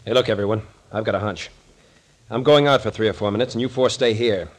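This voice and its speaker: A man's voice: on the low side, fast, natural voice. An actor playing a brusque, take-charge male captain and sleuth, commanding and no-nonsense, in 1940s radio drama style. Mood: decisive.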